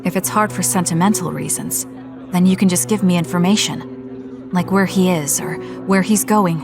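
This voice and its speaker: Actress as a reserved young soldier heroine, lower register, blunt and level. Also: female voice, music in the background, close to an everyday voice. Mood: cool. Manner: measured, blunt, matter-of-fact. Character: calm, blunt young woman, soldier and protagonist. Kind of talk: anime game cutscene acting.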